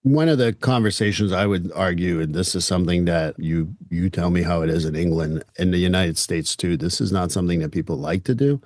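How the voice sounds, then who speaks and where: A man's voice: sits low, a little fast, few pauses, a little mellow, no echo, clean studio sound. A man from Canada whose first language is French, hosting an interview in a direct, joking, emphatic way. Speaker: podcast host. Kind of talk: interview conversation.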